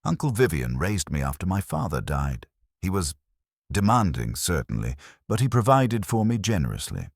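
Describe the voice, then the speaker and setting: A man's voice: sits low, very animated, moderate pace, gravelly, no room sound, studio quality, pitched above the performer's own voice, exaggerated voice. A man narrating an audiobook voices an anxious, privileged young man whose words tumble out nervously.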